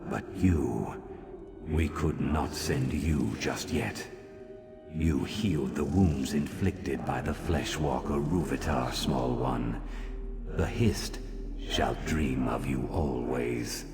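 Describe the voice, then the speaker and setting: An ancient tree spirit in a fantasy game. The voice is extremely low and gravelly, very slow and solemn, kindly in its gravity, drenched in long reverb over loud music. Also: male voice, exaggerated voice. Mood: solemn, benevolent. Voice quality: deep, gravelly. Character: ancient dreaming tree hive-mind, solemn and benevolent. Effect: reverberant echo.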